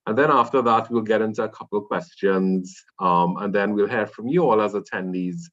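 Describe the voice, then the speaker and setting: A man's voice: fairly low pitch, fairly quick, a bit bright. A man opening and moderating an online panel discussion, with frequent hesitant fillers. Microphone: video call. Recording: dull lo-fi video call audio.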